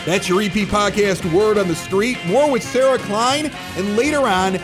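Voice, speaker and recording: A man hosting a local podcast with an animated radio-announcer delivery. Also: sits high, moderate pace, over music. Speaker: podcast host. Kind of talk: scripted local news reads and casual bar chat. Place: at a bar.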